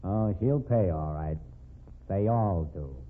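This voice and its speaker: A man's voice: deep voice, slow, soft background music. A man playing a bullying, smug blackmailer in an old-time radio crime drama, with a hard-boiled, domineering delivery. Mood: smugly confident. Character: bullying, smug male blackmailer running an investigation bureau. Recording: narrow-band, tinny old radio recording.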